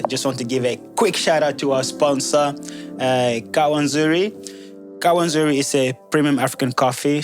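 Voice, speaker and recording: A man hosting a podcast, introducing the show and his guest in a casual, affirming way. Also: medium pitch. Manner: affirming, frequent "yeah, yeah, yeah". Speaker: podcast host.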